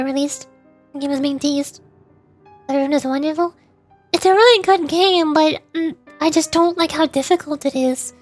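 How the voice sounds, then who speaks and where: A woman streamer chatting casually and rambling about games while answering viewers' questions. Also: high-pitched. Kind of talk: casual livestream chat.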